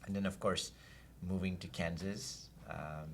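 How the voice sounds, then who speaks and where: A middle-aged man who grew up in Saudi Arabia, a guest in an interview, telling his story in a relaxed, reflective way with fillers. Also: low voice, measured pace, a little hiss or hum. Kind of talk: casual interview chat.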